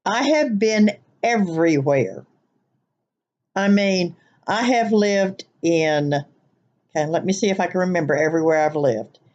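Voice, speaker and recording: A woman's voice: lowish voice, expressive, measured pace, a little mellow, lo-fi sound. Older woman with a Southern-Midwest twang. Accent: Southern US mixed with Wisconsin nasal twang. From American Midwest. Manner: chatty, rambling, with restarts. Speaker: vlogger. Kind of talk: casual chat, accent tag video. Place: living room, sitting on a couch.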